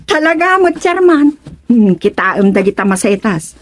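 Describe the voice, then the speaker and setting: A woman's voice: fairly high pitch, big pitch swings, measured pace. A woman with an Ilocano accent playing an eager village councilor in a radio comedy-drama, speaking in a keen, lilting, deferential way. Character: eager female village councilor, proud of her gardens.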